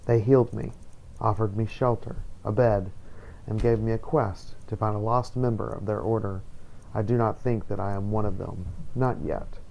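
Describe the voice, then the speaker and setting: Gravelly man narrating a weary adventurer, noisy backdrop. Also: on the low side, steady tone, normal speed, lo-fi sound. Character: weary, wounded adventurer recounting his wandering journey. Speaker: gaming video creator narrating a role-play playthrough. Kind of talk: scripted in-character journal narration. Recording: clearly noisy background ambience.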